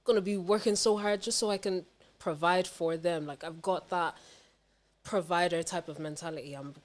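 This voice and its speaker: A woman's voice: fairly low pitch, fairly even intonation, normal speed. A young woman talking casually and candidly as a podcast guest, emphatic, slangy and sweary. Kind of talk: casual personal chat.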